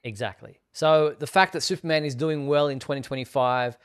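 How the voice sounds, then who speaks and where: A man hosting a casual fan news discussion, explaining in a measured way with some hesitant phrasing. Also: on the high side, smooth. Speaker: host of a Superman fan live show.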